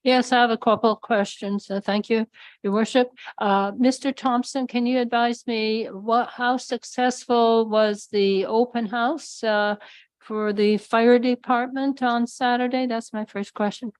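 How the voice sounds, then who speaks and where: Woman councillor at a desk mic, polite and halting with lots of filler pauses, very dry close sound. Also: on the high side, steady tone, no echo. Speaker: town councillor. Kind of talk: council meeting questions. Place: council chamber. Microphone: desk microphone.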